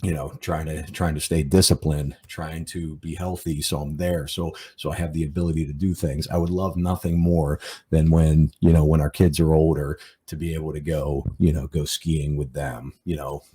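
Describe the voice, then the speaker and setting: A man's voice: extremely low pitch, level tone, moderate pace, warm tone, slightly rough. A man in his forties talking through a casual podcast monologue, rambling with many fillers and repeated words. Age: 40s. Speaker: podcast host.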